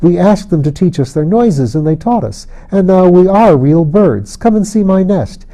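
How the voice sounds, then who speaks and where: A man voicing lively, eager small children in a storybook, explaining things in an excitable, insistent, simple way. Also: high-pitched, moderate pace, pitched above the performer's own voice, poor audio. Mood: excited, proud. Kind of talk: audiobook character voice.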